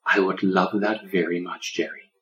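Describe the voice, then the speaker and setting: A man voicing a gentle old lion in a storybook, solemn and loving like a shepherd, with a preaching delivery. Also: sits low, fairly even intonation, normal speed, dull audio, faint music behind. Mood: tender, protective.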